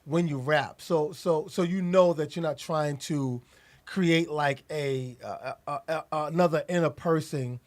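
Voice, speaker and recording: A man's voice: fairly high pitch, a little slow. A man interviewing an artist, asking long questions with frequent restarts and repeated words.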